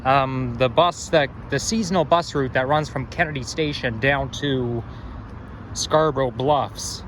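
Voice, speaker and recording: A young man from Toronto, slightly hoarse with a frog in his throat, rambling and correcting himself as he narrates a street walk outdoors. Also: medium pitch, measured pace. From Toronto, Canada. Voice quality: strong, slightly growly. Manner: rambling, self-correcting, musing aloud. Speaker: walking-tour vlogger. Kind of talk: casual walking narration. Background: faint passing traffic.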